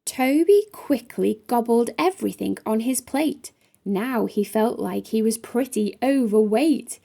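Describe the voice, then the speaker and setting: High, mellow woman reading a children's story. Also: animated, unhurried, vocal fry. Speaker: storytime reader. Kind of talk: children's picture book read-aloud in rhyme.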